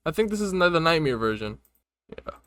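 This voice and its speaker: A man's voice: a little high, sing-song, fast, crowd noise. A young man hosting a reaction video in casual, slangy, amused chat.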